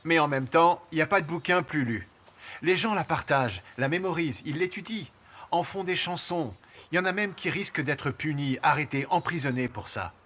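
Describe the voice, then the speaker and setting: A man's voice: a little high, expressive, normal speed, fairly bright tone. A man giving scripted narration in a punchy, declarative way for a short video.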